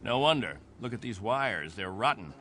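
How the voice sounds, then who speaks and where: An actor playing a stern, devout captain of a struggling space colony in a radio drama, speaking with solemn, earnest authority. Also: male voice, lowish voice, very animated, normal speed, noisy background. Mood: brisk, practical.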